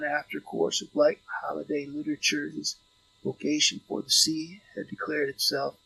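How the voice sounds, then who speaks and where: A man reading literary fiction as an audiobook narrator, delivering it in measured phrases. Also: on the high side, vocal fry, sharp tone, poor audio.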